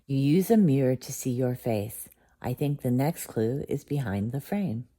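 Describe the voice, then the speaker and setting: Woman playing a gentle motherly teapot. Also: very deep voice, lively intonation, a little slow, full character voice. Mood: encouraging.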